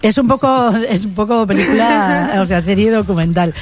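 Woman, radio guest, witty, quick comebacks. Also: mid-range voice. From Madrid, Spain. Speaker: actress guest. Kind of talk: casual radio chat.

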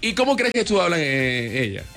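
Male radio co-host from Barranquilla, high sharp voice, teasing studio banter. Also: very animated, moderate pace, vocal fry, faint music behind. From Barranquilla, Colombia. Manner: joking, laughing, teasing. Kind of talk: joking on-air radio banter.

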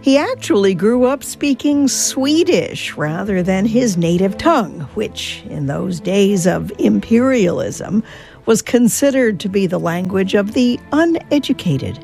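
A woman radio host tells a story in a conversational, scripted way. Her voice is mellow and gravelly and moves up and down a lot. Faint music plays behind her. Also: mid-range voice, lively intonation, a little slow.

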